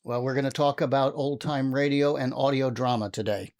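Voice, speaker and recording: An older American man hosts a panel in a medium-pitched voice. He moderates casually, picking his phrases carefully and often hesitating. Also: fairly even intonation, brisk, a little mellow. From Texas, United States.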